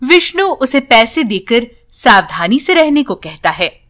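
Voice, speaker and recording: A woman's voice: a little high, sing-song, measured pace, fairly bright tone. A woman narrating a scripted bedtime story for children as a storyteller.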